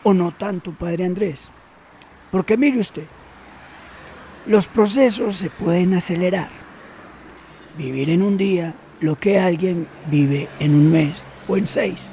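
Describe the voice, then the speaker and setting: Sly male gatekeeper, audio drama. Gravelly, mellow, insinuating menace. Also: high voice, a little hiss or hum. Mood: sly, teasing. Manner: insinuating, teasing, deliberate. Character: sly, menacing gatekeeper don Roberto, trading in secrets.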